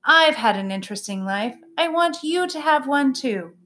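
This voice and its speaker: A woman's voice: high-pitched, lively intonation, moderate pace, pushed and bright, voice pushed up. A woman voicing an earnest male dog, the protective brother, pleading in an urgent way.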